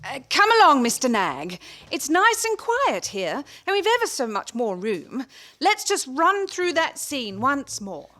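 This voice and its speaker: A woman playing a brisk, bossy lady who directs amateur rehearsals in a comic radio play, prim and coaxing. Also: sits high, big pitch swings, bright, forceful tone, full character voice. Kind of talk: stage comedy performed as radio play.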